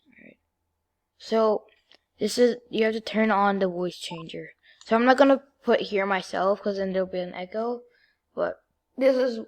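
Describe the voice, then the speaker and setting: A female-sounding voice at a middling pitch walks through software steps. The delivery is informal and halting, in the manner of a homemade screen-recording tutorial. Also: vocal fry. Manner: informal, halting step-by-step instructions. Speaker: video creator giving a tutorial.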